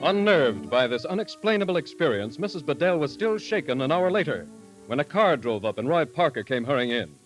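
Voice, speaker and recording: A man narrating in the formal, measured, dramatic style of a 1930s radio announcer. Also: fairly high pitch, very animated, soft background music. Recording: vintage 1938 radio broadcast recording.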